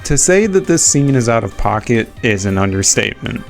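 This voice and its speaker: Male video essay narrator, casual sweary opinionated fan talk, medium pitch, music bed behind. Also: very animated, brisk, vocal fry. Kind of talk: casual retrospective video commentary.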